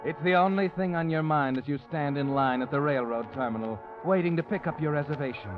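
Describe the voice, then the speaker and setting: A man's voice: on the high side, fairly quick. A man narrating an old radio thriller in the second person, ominous and insinuating. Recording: dull old radio recording with music.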